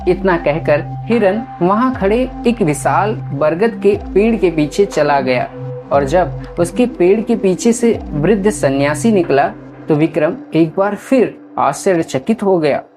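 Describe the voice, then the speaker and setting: A woman's voice: fairly low pitch, lively intonation, a little slow. A woman storyteller reading scripted horror story narration. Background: music.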